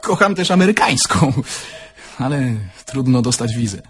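An actor playing a naive, candid young Polish car thief in an audio drama, talking in a plain, conversational way. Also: male voice, medium pitch, expressive, normal speed, fairly bright tone, natural voice. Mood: curious, challenging. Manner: conversational, plain-spoken, reminiscing.

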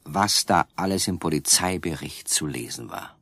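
A man narrating a children's radio play in a calm, measured way with a husky voice. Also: extremely low pitch, dry recording. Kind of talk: scripted storybook narration.

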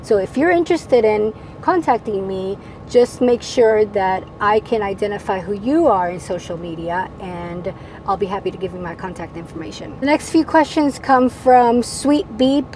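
Mellow woman's voice, native Spanish speaker, middle-aged, measured casual vlog delivery, lapel mic, noticeable vehicle-cab background noise. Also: medium pitch, expressive, rough recording. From Venezuela, moved to the United States at ten. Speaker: vlogger, channel host. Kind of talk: casual vlog, answering viewer questions to camera. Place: parked camper van cab.

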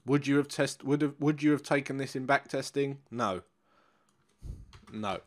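A man's voice: medium pitch, normal speed. A middle-aged man with a nasal, congested voice, walking viewers through trading charts in a casual, instructive way and repeating key points. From England. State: congested, blocked nose. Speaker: currency trading coach. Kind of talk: live trading room chart walkthrough.

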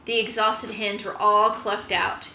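A woman's voice: medium pitch, little pitch movement, measured pace. A woman in her thirties reads a storybook aloud and gives instructions to children, recorded at home on a laptop. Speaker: host reading aloud to children. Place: small indoor room at home. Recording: roomy, faintly noisy webcam recording.

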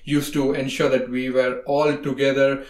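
Earnest man from India, chatty podcast guest, mid-pitched voice, roomy echo. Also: little pitch movement, moderate pace. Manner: earnest, rambling with many fillers. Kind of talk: casual conversation. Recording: echoey room.

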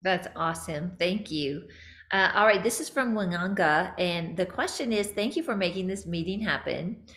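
A woman's voice: on the low side, normal speed, a bit bright, reverberant. A middle-aged woman hosting a video call, upbeat, reading out viewer questions. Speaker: host moderating questions. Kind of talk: casual faith-based discussion. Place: bedroom indoors.

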